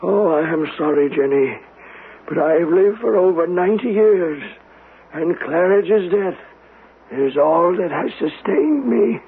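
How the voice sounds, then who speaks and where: An actor playing a vengeful, devout man over ninety in a radio mystery drama, with a solemn, deliberate, fervent delivery. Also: high voice, animated, slightly rough, full character voice. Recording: noisy, tinny old radio broadcast recording.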